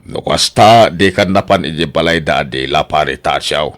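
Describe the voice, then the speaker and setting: A man acts a blunt village pal who goads his friend in a Filipino radio drama. His voice is extremely deep, with a Filipino accent. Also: moderate pace. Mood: goading. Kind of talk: Ilocano radio drama acting.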